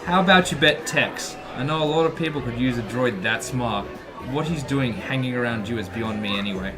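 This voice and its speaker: A male actor voices a friendly but smug gambler in a space audio drama. His mid-pitched voice is confident and teasing, with music clearly playing behind it. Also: a little fast, close to an everyday voice.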